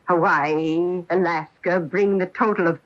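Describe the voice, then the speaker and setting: An actress playing an elderly former schoolteacher in a radio mystery drama, brisk, nostalgic and sure of her memory. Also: on the low side, natural voice. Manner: brisk, expressive, reminiscing. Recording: dull lo-fi radio broadcast recording.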